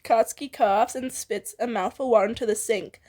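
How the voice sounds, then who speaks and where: A woman's voice: fairly high pitch, fairly quick, darkish tone. A woman reading fan fiction aloud in a casual way, often breaking into giggles and stumbling over the text.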